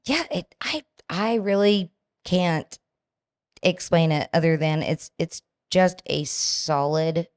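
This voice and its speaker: A woman's voice: on the low side, slow pace. A woman guest on a podcast, chatting casually and laughing easily about working as a bar rep. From not local to the area. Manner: chatty, laughs easily, loud "mom voice" she says rarely comes out.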